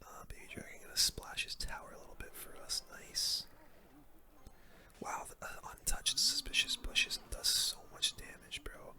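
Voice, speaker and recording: A video game streamer giving casual ASMR gameplay commentary in a soft, breathy whisper, with relaxed gamer slang. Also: moderate pace. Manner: casual gamer slang, murmured reactions to play.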